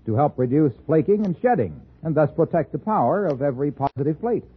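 An American man announcing and pitching a sponsor in scripted 1950s radio style. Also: lively intonation, a little fast, husky. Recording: muffled old radio broadcast.

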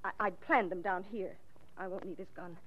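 An actress in a 1940s radio mystery playing an unhinged, boastful murderous wife, agitated and insistent. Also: female voice, fairly low pitch, normal speed, close to an everyday voice. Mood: obsessive, defensive. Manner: agitated, insistent, obsessively repeating herself. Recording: muffled vintage 1940s radio recording.

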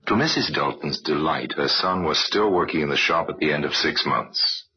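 A man giving measured, wry scripted narration for an old-time radio crime drama. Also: rumbling low, animated, pushed and bright, breathy edge. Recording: dull, lo-fi vintage radio recording.

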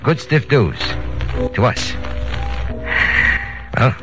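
A man's voice: medium pitch, expressive, extremely slow. A man acting an eager small-time racketeer pushing his scheme in a 1940s radio crime drama, slangy and insistent. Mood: eager pitching. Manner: slangy, insistent salesman patter. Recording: 1940s radio broadcast with music.

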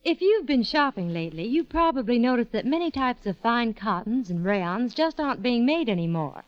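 A woman's voice: on the high side, big pitch swings, normal speed. A woman delivering scripted advertising patter as a spokeswoman on a dull 1940s radio broadcast.

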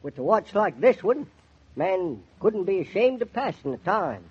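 A high, raspy old country man in a 1940s radio drama, speaks with a rural American accent. He is crusty, thrifty and nostalgic, musing in a folksy drawl on a muffled vintage recording. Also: big pitch swings, full character voice. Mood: wistful, satisfied. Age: older. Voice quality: husky. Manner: folksy, grumbling, drawn-out musing.

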